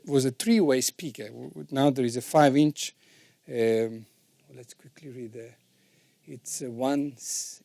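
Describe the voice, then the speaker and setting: Male instructor, mellow relaxed tone, informal explanatory delivery, didactic, checks understanding, dry recording. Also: medium pitch, dry recording. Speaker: sound engineering instructor.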